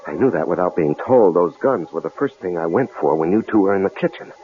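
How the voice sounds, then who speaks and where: A man playing a protective, devoted husband in an old-time radio drama, gravelly and reassuring, halting when tender. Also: low-pitched, animated, phone-quality sound. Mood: reassuring, determined. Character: protective, resourceful, devoted husband held captive. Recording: hissy, band-limited old radio drama recording.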